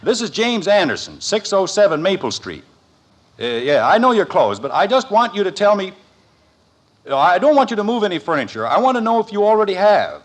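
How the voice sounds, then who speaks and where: An exasperated American father in an old radio comedy. His fairly high voice moves up and down freely as he sputters, hedges and turns drily sarcastic. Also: big pitch swings, brisk. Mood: flustered, indignant. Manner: hesitant, sputtering, dryly sarcastic. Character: exasperated, harried suburban father, middle-aged, sarcastic. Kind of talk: 1950s American radio sitcom acting. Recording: vintage 1950s radio recording.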